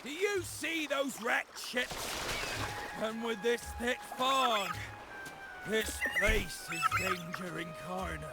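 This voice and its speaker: An actor playing an excitable, cowardly, blustering pirate in a video game, with exaggerated pompous delivery. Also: male voice, extremely high pitch, takes it slowly, heavily acted. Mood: grandiose. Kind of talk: video game character dialogue. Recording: loud music behind the voice. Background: loud game music.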